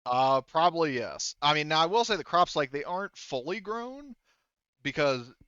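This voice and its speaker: A man speaking casually as game master, repeating himself and feeling his way through explanations in a halting manner. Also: a little high, big pitch swings, a bit bright, clear, resonant tone, dry recording, muffled recording. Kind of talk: running a tabletop game, casual talk.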